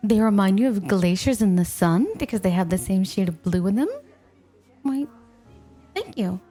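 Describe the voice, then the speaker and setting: A woman's voice: medium pitch, normal speed, dark tone, soft background music, natural voice. A woman playing a playful, possessive snow spirit in an ASMR roleplay, coaxing and teasing the listener with coy, intimate talk. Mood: sly, flirtatious. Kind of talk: ASMR roleplay, scripted, intimate one-sided dialogue.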